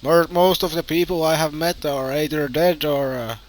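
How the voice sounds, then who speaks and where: A man's voice: a little high, little pitch movement, normal speed. Hesitant man in roleplay. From Finland. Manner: hesitant, many uhs and restarts. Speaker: roleplay player. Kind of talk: in-character game roleplay chat.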